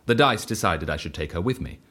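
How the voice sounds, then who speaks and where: Excitable, low-voiced reckless man. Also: fairly quick. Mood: earnest confession. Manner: excitable, evangelical, matter-of-fact about outrageous deeds. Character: reckless, exhilarated male dice devotee on the run. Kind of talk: audio drama acting.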